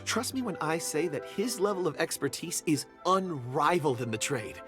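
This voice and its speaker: An actor playing a courteous, flattering gem merchant in a video game. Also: male voice, a little high, moderate pace, natural voice. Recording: game audio with clear background music. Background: game music.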